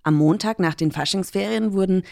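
A woman narrating a news report in a steady, measured, scripted way. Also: medium pitch, gravelly, no echo, clean studio sound.

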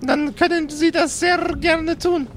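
A courteous young woman at a fantasy library desk, played by a man. The voice is high and slow, hesitant and easily flustered, over some background noise. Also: steady tone. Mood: flustered, helpful. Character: polite young red-skinned female library receptionist.

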